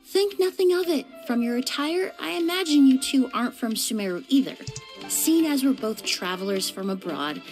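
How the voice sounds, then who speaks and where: A woman playing a poised, courteous young perfumer in a video game, with a formal, measured, polite manner. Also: high-pitched, animated, darkish tone, soft background music.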